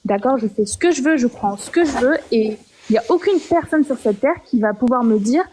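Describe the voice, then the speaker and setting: A young woman from Algeria calling in to share her story, talking hesitantly with many pauses and restarts. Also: a little high, expressive, warm tone, a little hiss or hum. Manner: hesitant, frequent filler sounds and restarts. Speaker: caller. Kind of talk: personal testimony on a call-in show.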